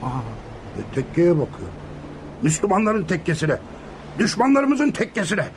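Loud grumbly bully dad in a radio play, highish voice swooping around, noisy old tape. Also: male voice, very animated, unhurried, full character voice. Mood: grumbling, boastful. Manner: theatrical, full of exclamations and grumbling. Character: boisterous hard-drinking Greek father, bullying and hot-tempered. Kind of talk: radio drama acting.

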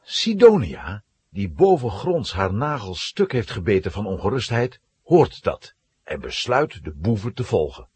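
A man's voice: lowish voice, breathy edge. A man narrating a scripted children's audio play in a dramatic storytelling manner.

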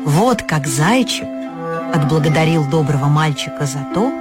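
A woman narrating a folk fairy tale for an audio story, expressive and lifting her pitch on key words. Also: normal speed, loud music behind the voice.

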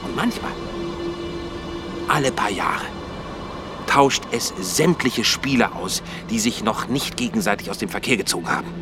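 Schauspieler als theatralischer, getriebener Warner mit stark schwankender Stimme. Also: male voice, lowish voice, expressive, normal speed, pauses often, over music, lightly acted. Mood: intense, fervent. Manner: formal, theatrical, insistent, erratic swings. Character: agitated male insider warning of a murderous system. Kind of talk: science-fiction radio drama acting.

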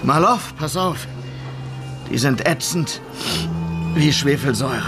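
An actor playing a defiant, streetwise pimp in a noir radio play, talking in a slangy, scornful way. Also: male voice, a little high, expressive, slow, over loud music. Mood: warning.

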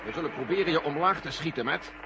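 A military general in an old radio play, earnest and persuasive. His voice sits slightly high for a man. The recording is dull and thick with loud noise. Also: animated, fast. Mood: stern. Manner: urgent, persuasive. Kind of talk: Dutch radio drama acting.

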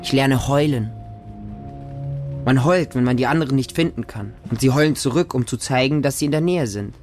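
A man's voice: mid-range voice, normal speed, darkish tone, soft background music, close to an everyday voice. A man playing a watchful, trusting homeless boy in a radio drama monologue, speaking in simple, childlike short sentences. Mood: earnest, proud. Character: homeless street boy living with a pack of dogs, watchful, trusting, defiant.

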